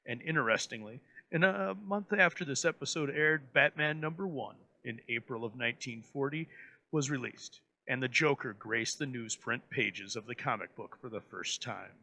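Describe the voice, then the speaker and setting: A man narrates in a theatrical, florid manner as a presenter. His voice is very bright and sharp, mid-pitched, with a gravelly creak and deliberate dramatic pauses. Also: low-quality recording. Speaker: presenter introducing an old radio episode.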